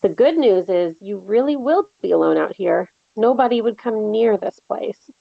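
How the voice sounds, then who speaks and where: A woman's voice: medium pitch, lively intonation, moderate pace, vocal fry, no room sound. A woman playing a teasing, protective young friend in a horror script table read, wry in manner, on a dull lo-fi microphone. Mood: concerned.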